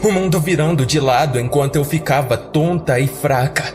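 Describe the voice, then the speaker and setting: A man's voice: a little high, moderate pace, music in the background. A man narrating a scripted horror story in a dramatic audiodrama style, as a podcast storyteller.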